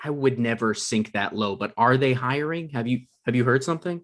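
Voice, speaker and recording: An actor at a comedy table read playing a petty, self-important production coordinator, dry and argumentative. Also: male voice, medium pitch, a little fast, a bit bright, close to an everyday voice. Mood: scheming, defensive.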